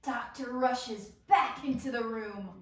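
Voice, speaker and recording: A woman's voice: on the high side, slow pace, fairly bright tone. A woman narrating stage directions at an amateur table read. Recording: very echoey room, distant mic, faint noise.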